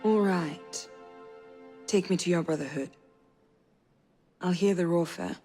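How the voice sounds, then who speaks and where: A woman's voice: on the low side, slow, mellow, long reverb tail, faint music behind. An actress playing a proud young noblewoman seeking revenge, speaking formally and pointedly in a dramatic video game. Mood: skeptical.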